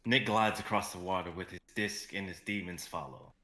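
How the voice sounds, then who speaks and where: Low, bright-voiced young man reading. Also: normal speed. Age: young adult. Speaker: narrator of a dramatic reading. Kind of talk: live script reading, stage directions.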